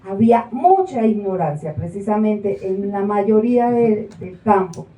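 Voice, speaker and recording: Halting country woman guest on the radio, played by an actress; dark tone, distant mic. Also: mid-range voice, animated, close to an everyday voice. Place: small theater stage. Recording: echoey room, from far off.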